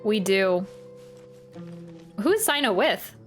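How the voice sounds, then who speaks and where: A woman's voice: mid-range voice, sing-song, takes it slowly. A woman hosting a game reaction video, musing aloud in a playful, slangy, casual way. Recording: faint game music behind.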